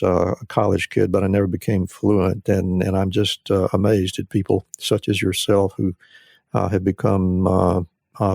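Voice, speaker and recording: A middle-aged man hosting a podcast interview, asking questions slowly and haltingly with many pauses. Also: low voice, gravelly, a little mellow, no echo, clean studio sound. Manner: hesitant, full of "uh" fillers and restarts. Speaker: podcast host.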